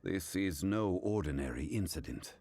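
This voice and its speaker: An actor playing a composed, scholarly gentleman in a video game, speaking in a measured, formal and courteous way. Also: deep voice, animated. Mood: grave. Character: composed, dignified, learned gentleman consultant, grave and watchful. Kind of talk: video game character acting.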